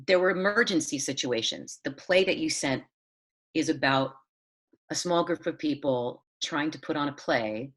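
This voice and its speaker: A woman from Southern California, a playwright, musing and hedging in a relaxed chat on a video call. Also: sits low, normal speed, breathy edge. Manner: musing, hedging, lots of fillers and restarts. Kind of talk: casual conversation over video call.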